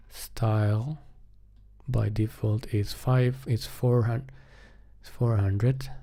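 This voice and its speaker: A man with a soft, mellow voice at an average pitch talks casually through his coding work. He thinks aloud, hesitating and half speaking to himself like a streamer at his desk.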